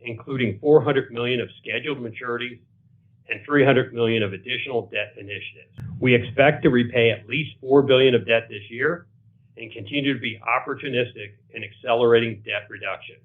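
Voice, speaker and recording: A man's voice: medium pitch, brisk. A male finance executive reading a financial report in a formal, matter-of-fact manner. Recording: narrow muffled conference-call phone audio.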